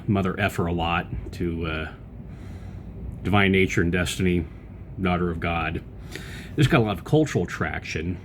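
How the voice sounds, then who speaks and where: A man's voice: sits low, a little hiss or hum. A man hosting his own podcast, delivering a loose political commentary monologue full of fillers and restarts. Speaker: podcast host.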